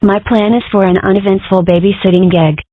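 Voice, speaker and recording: A woman plays a young dragon girl on babysitting duty, wry and matter-of-fact. Her mid-pitched voice is casual, in a cartoon dub with very dry, phone-like sound. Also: fairly even intonation, brisk, no echo, natural voice.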